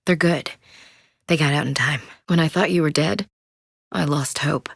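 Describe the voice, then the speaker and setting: A young American woman marine in a cinematic sci-fi game. Her voice sits low for a woman, in a dry, close recording with no room sound. She speaks with blunt military directness, candid and wry. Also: moderate pace, vocal fry, husky, no room sound, heavily acted. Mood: tender, troubled. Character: tough, candid young female space marine. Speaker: video game voice actor.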